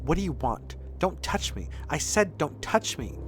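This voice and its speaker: A man's voice: on the high side, big pitch swings, a little slow, faint music behind. A man voicing a guarded, prickly woman from a video game, snappish and taunting as he reads her dialogue. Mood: curt, irritated. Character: guarded, prickly woman, mocking and candy-obsessed.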